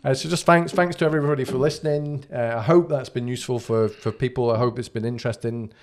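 A gravelly-voiced man in his forties with a mixed British accent that carries a Scottish twang. He talks casually as a podcast guest, with a self-deprecating, conversational way, close on a studio microphone. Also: mid-range voice, fairly even intonation, quick pace. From Scotland. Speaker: guest, agile consultant. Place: small acoustically treated podcast studio. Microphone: close studio microphone on a boom arm.

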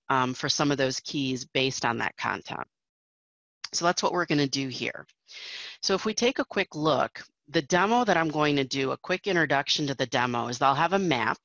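A woman's voice: sits low, a bit bright, dry recording, dull audio. A woman presenting a technical webinar over slides, explaining steadily with frequent fillers.

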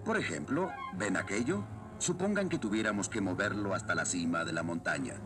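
A man's voice: fairly low pitch, animated, a little fast, close to an everyday voice. A man playing a stern, fatherly middle-aged soldier and inventor in a cartoon dub, speaking authoritatively with a Latin American Spanish accent. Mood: confident. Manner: authoritative, explanatory. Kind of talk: dubbed cartoon acting. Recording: music behind the dialogue.

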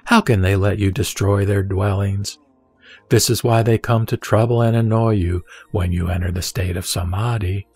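A man reading the words of the Buddha as a calm, wise teacher, in a measured and solemn scripted recitation. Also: on the low side, husky, dry recording.

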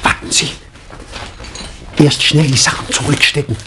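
An actor playing an inquisitive doctor and amateur sleuth in a 1960s German radio play. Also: male voice, on the high side, fairly even intonation, measured pace, natural voice. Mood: conspiratorial, focused. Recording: old vinyl record with surface noise.

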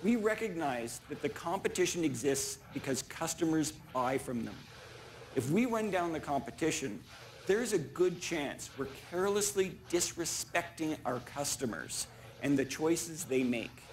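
A middle-aged man gives a polished keynote in a slightly high voice. He speaks deliberately, in short emphatic statements, into a lapel mic on a large conference stage, with faint noise behind him. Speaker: chief executive giving a keynote. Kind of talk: rehearsed keynote speech. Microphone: small clip-on lapel mic.

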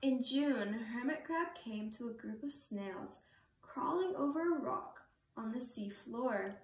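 A young adult woman reading a children's picture book aloud with slow, expressive storytelling, sitting on a couch in a living room. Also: on the high side, slight background noise. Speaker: reader. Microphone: camera across the room. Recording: roomy living room from far camera.

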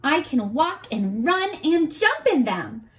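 A young woman with a high voice reads aloud like a primary teacher. Her pitch swings expressively and she takes her time, speaking directly to small children as she goes through a picture book. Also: sing-song, slow pace. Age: 20s. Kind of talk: children's read-aloud with simple questions to listeners. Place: small furnished bedroom, indoors.

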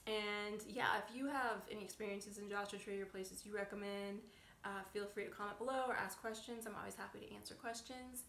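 A woman's voice: mid-range voice, a bit bright. A woman talking casually as a travel vlogger, with informal, chatty narration. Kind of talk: casual chat and voiceover narration.

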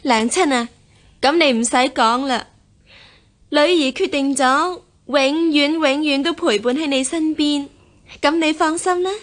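A woman acting a devoted, gentle young unmarried daughter in a Cantonese radio drama, with a coaxing manner. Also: high voice, measured pace. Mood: dutiful.

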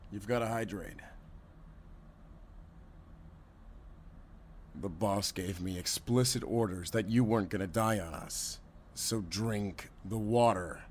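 A man's voice: on the low side, a little hiss or hum, close to an everyday voice. A man playing a mocking, condescending, swaggering kidnapper henchman in an audio roleplay. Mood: impatient, commanding. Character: taunting, cocky male kidnapper henchman guarding a captive.

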